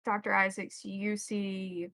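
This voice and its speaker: A woman's voice: medium pitch, flat delivery, slow, a bit bright, dry recording, clean studio sound. A woman acting as game master, explaining and describing scenes in detail for a tabletop role-playing game.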